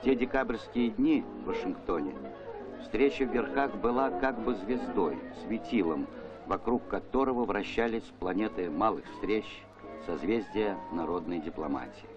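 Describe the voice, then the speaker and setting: Male documentary narrator, low register, scripted delivery, muffled archive sound over music. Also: moderate pace. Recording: dull vintage film soundtrack with music.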